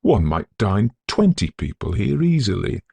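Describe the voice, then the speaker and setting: A man voicing a brash, cheerful young Victorian gentleman in an animated, theatrical way for a ghost story audiobook. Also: on the low side, measured pace, creaky, breathy edge, no echo, pitched above the performer's own voice, heavily acted. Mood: eager, exclaiming. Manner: animated, exclamatory, theatrical period phrasing.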